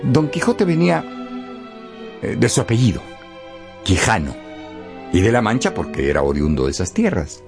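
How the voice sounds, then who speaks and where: A man narrating a radio story expressively and theatrically, with dramatic pauses and emphasis. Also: on the low side, huge pitch swings, music in the background.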